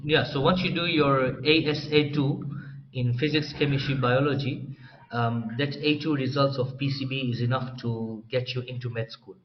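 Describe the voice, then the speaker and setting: A young man from Tanzania, a doctor training in psychiatry, explaining his career path as an interview guest in a relaxed way. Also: mid-range voice, moderate pace. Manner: explanatory, relaxed, clears throat often. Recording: slightly dull, faint room noise.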